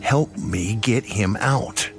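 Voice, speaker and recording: A man performs a stern old sheriff in a horror audio drama. He speaks in a deep, unhurried voice, blunt and commanding, with few words. Faint music sits underneath. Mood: stern, grim. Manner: gruff, terse, commanding.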